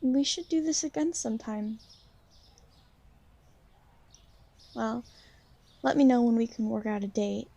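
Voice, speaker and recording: Shy, insecure young female vampire, played by a woman, for ASMR roleplay; mellow, creaky voice, halting and apologetic. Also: a little high, no room sound. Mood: flustered, shy. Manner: hesitant, halting, soft-spoken, apologetic. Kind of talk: ASMR roleplay, intimate one-sided conversation.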